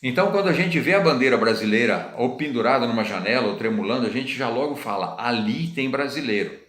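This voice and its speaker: Brazilian male preacher, middle-aged, mid-pitched, casual teaching sermon with rhetorical questions, roomy echo. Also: expressive, fast talker, fairly bright tone. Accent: Brazilian Portuguese. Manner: conversational, repeats phrases, asks listeners rhetorical questions.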